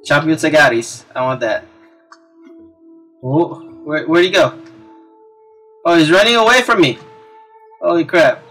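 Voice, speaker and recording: A man's voice: on the high side, unhurried, pauses often, a bit bright. A man giving casual gameplay commentary, exclaiming and reacting as he talks to himself. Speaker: let's play gamer. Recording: game music behind the voice. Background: video game music.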